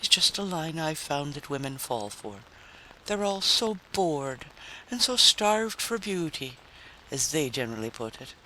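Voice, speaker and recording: A man's voice: a little high, big pitch swings, unhurried, pushed and bright, a little hiss or hum, full character voice, poor audio. A man voicing a cynical male wasteland drifter in a story reading, with a blunt, theatrical, snarling manner. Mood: snarling, cruel.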